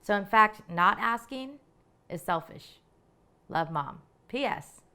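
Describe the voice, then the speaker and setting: A woman hosting a literature segment, introducing it and reading from her own novella in an expressive way. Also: medium pitch, takes it slowly, smooth. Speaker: segment curator and host.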